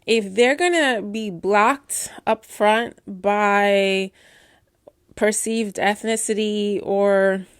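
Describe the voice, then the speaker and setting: A middle-aged woman doula who stutters, giving candid, warm advice to new doulas in a casual talk with playful asides. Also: mid-range voice, steady tone, drawn-out words, smooth, no room sound, studio quality. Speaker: doula and course teacher.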